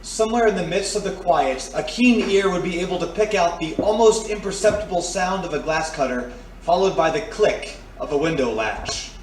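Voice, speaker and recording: A man's voice: high-pitched, moderate pace, close to an everyday voice. A man playing a storyteller who narrates a suspenseful scene in a scripted audio drama. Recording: roomy, distant mic, faint noise.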